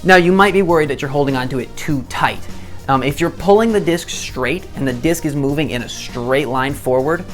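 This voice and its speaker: A hoarse man in his twenties gives a chatty, self-deprecating tutorial, often restarting his sentences. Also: a little high, normal speed, fairly bright tone, faint music behind. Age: 20s. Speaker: disc golf instructor. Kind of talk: casual tutorial to camera.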